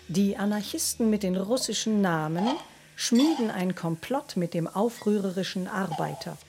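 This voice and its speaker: A woman's voice: medium pitch, background noise. A woman reading scripted story narration in a radio play.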